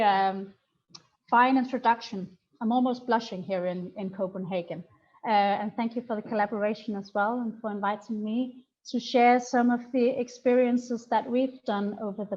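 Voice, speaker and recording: A woman's voice: on the high side, a little mellow. A woman with a Danish accent giving a friendly, chatty online presentation with frequent fillers. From Denmark. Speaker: presenter for a youth homelessness alliance. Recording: muffled online call audio.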